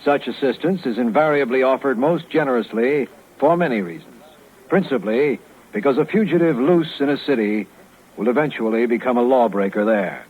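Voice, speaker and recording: An actor playing a retired police lieutenant, narrating a 1950s radio crime case in a formal, measured, matter-of-fact way. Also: male voice, medium pitch, lightly acted. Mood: composed, authoritative. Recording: thin, narrowband 1950s radio recording.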